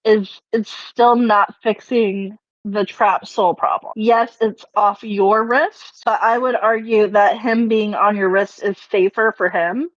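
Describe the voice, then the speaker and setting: A woman talking through a tabletop roleplaying game, moving between casual discussion and roleplay, on rough audio. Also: medium pitch, steady tone, slow pace, few pauses, no echo. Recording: dull, dry, rough online-session audio.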